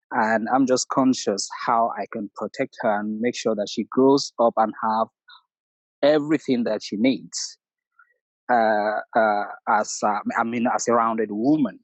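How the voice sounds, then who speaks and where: A middle-aged man from Cameroon with a Cameroonian accent, calling in to tell a personal story in a halting way with many restarts. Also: mid-range voice, dry recording, rough recording. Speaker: caller. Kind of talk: personal story on a call-in show.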